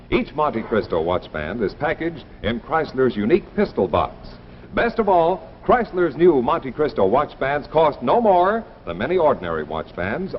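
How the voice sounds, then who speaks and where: Animated 1950s commercial announcer, a man. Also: medium pitch. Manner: emphatic, declamatory sales delivery. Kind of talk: 1950s scripted advertising narration. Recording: muffled 1950s broadcast with faint hiss.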